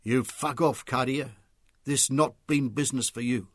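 A man's voice: fairly high pitch, expressive, a little slow, fairly bright tone, no echo, studio quality, voice pushed up, heavily acted. A man voicing a cocky pool hustler who speaks in broken English and snaps threats, in a storybook reading. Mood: menacing.

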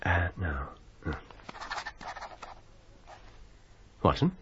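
A restless detective in a radio drama, impatient muttering, super animated pitch, faint noise on a dull tape. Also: male voice, normal speed, exaggerated voice. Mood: brisk, guarded. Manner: excitable, muttering, repeats words impatiently. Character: brilliant, restless, impatient male consulting detective. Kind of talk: British period radio drama acting.

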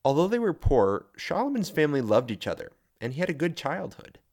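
A man's voice: a little high, big pitch swings, darkish tone, a little hiss or hum. Man narrating a true story. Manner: measured, building suspense. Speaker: host and narrator.

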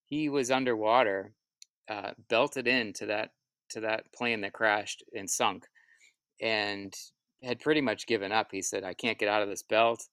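Low, creaky, smooth-voiced man reflecting. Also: a bit bright, no echo. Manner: halting, reflective, self-repeating. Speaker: musician, interview guest. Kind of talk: casual interview answers, storytelling.

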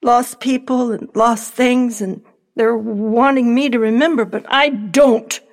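A woman acting in an audio drama as a frail, fearful elderly widow, speaking in a hesitant, gentle, wistful way. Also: a little high, fairly even intonation, measured pace. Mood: uneasy, remembering.

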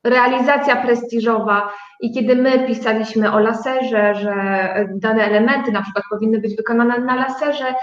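A woman's voice: a little high, fairly even intonation, moderate pace. A woman speaking conversationally as an interview guest. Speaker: guest, start-up co-owner. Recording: dull, roomy echoing sound.

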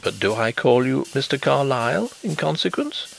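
Man voicing a suave, genial blind gentleman, lively pitch. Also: moderate pace, vocal fry, faint music behind. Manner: suave, quiet, thoughtful.

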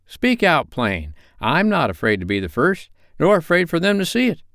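A defiant, scornful Victorian charwoman, as a male reader puts her on. Her voice is pushed high and swings lively up and down as she talks down to the others. The sound is clean and smooth. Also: normal speed, dry recording, studio quality, exaggerated voice. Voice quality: brash, scornful.

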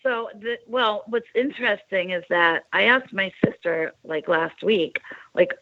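A woman's voice: mid-range voice, unhurried, no echo. A woman from Long Island, New York, shares her faith story as a radio guest, rambling and hesitating over her words. Her voice comes through muffled and lo-fi, with a dry, close sound. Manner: rambling, hesitant, many fillers. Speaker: interview guest. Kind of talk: telling a personal faith story.

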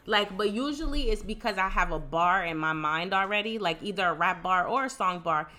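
A woman's voice: mid-range voice, lively intonation, a little fast, sharp tone. A woman in her thirties hosting a podcast, sounding congested and talking in a chatty, laid-back way. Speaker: podcast host. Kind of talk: casual podcast chat.

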